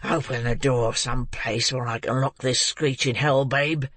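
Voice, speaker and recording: Menacing, desperate ruffian, read by a narrator, slow and low-pitched, close, dry sound. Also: steady tone, fairly bright tone, no room sound. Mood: fierce. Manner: fierce, menacing. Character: fierce, desperate grown man, a murderer. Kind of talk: audiobook reading of a classic novel.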